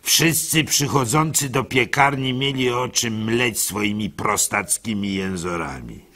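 A trusting, simple village baker tells his story in a radio play. His voice is husky and bright, swinging widely in pitch as he confides and questions himself. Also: exaggerated voice. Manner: expressive storytelling, halting and self-questioning. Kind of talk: dramatic first-person radio monologue.

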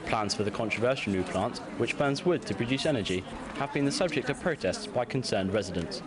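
A man's voice: medium pitch, lively intonation, a little fast, a crowd in the background. A man reading a scripted news report as a reporter.

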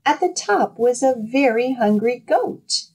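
A woman reading a picture book aloud to children in an expressive, dramatic storytelling manner. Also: fairly high pitch, measured pace, slightly rough. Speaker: children's librarian, storytime reader.

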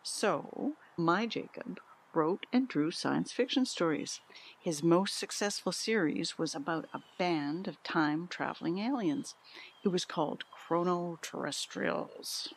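Husky, candid middle-aged mother. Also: on the low side, unhurried. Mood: proud, wistful. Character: candid, troubled mother confiding about her peculiar son. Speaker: interviewee. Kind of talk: audio drama monologue.

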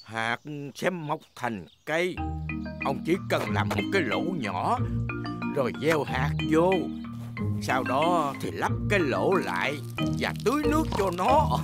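An old grandpa pig in a children's cartoon, jolly and patient. His delivery is slow and animated as he explains things kindly, over clear background music. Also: fairly high pitch. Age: older character. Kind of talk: dubbed preschool cartoon dialogue. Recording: music under the voice.

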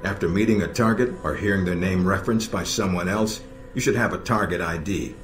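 Man playing a deep-voiced, seasoned spy handler. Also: natural voice. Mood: measured, instructive. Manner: measured, instructive, dryly blunt. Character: seasoned middle-aged male spy handler, calm, sardonic. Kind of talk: video game cutscene acting. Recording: roomy echo with music behind.

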